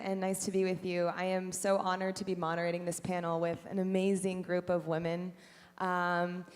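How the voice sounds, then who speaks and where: A woman's voice: lowish voice, a little mellow. Woman moderating a panel in a big hall. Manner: friendly, filler-heavy with frequent "um". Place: conference stage in a large hall. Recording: long hall reverb.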